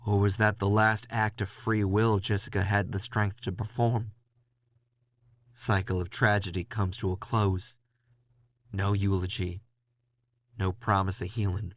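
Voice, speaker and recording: A man playing a weary, hard-boiled ghost hunter in a noir horror audio drama, gravelly, brooding and confessional. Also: lowish voice, level tone, a little slow, long pauses, no room sound, natural voice. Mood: grim, intense. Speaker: narrator and lead character.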